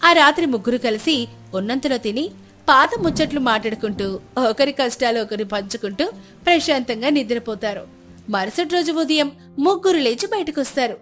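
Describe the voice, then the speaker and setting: A woman's voice: high-pitched, animated, moderate pace, fairly bright tone. A woman narrating a scripted moral story as a storyteller. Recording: music bed under the narration.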